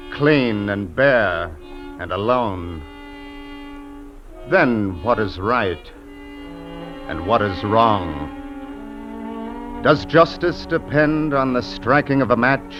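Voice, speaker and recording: An actor playing a solemn, philosophical storyteller, narrating a 1950s radio drama in a dramatic, oratorical manner. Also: male voice, mid-range voice, natural voice. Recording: muffled old radio recording, music behind.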